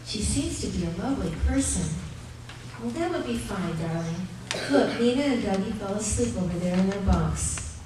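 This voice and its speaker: A woman playing a gentle, patient young mother in a live radio play, soothing and reassuring. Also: mid-range voice, animated, normal speed, close to an everyday voice. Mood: tender. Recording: echoey live stage recording, distant, noisy.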